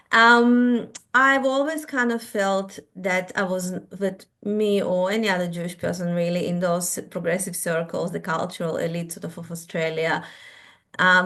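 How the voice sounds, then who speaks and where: A woman's voice: fairly low pitch, a bit bright. A middle-aged woman from Israel, born in Russia, with an Israeli accent, speaking thoughtfully as a guest in a panel discussion and often restarting her sentences. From born in Russia, raised in Israel.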